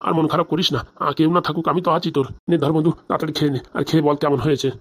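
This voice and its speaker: A man's voice: a little high, fairly even intonation, very fast, close to an everyday voice. A man voicing a consoling, homely middle-aged village friend in a comedy cartoon, chatty and reassuring. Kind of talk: Bangla comedy cartoon dialogue.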